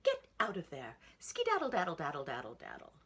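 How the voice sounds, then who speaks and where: A woman's voice: deep voice, wildly swooping pitch, a little fast, fairly bright tone, husky, pitched above the performer's own voice, full character voice. A woman voicing a fussy mother pig from a storybook, scolding in an emphatic sing-song way.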